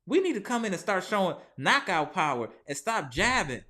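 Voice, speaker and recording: A young man hosts a live post-game fan chat. His voice sits a little high. He vents about the loss and keeps answering his chat by name. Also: moderate pace. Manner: animated, venting, talks to his chat by name. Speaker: sports channel host.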